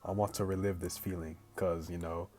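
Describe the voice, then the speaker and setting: Low-voiced man with music behind him. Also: a little fast. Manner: slangy, animated asides, breaks into laughter. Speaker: gaming video host reading a visual novel aloud. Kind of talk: casual let's play, reading story aloud with excited reactions.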